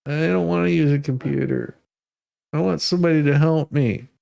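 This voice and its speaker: A man's voice: a little high, normal speed, creaky, mellow. A man voicing a stubborn, childish complainer who whines and repeats himself in a petulant way. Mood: petulant whining. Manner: whiny, repetitive, petulant. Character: whiny, stubborn child and complainer, endlessly asking why.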